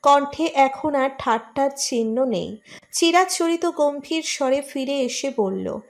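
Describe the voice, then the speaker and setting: Female narrator for scripted romance audiobook; high-pitched voice, storytelling delivery. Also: animated, unhurried.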